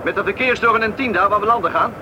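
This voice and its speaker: A man's voice: sits high, fast, lightly acted. A man playing a friendly, chatty airline pilot who explains things genially, in an old radio play with aircraft noise. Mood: relaxed, explaining.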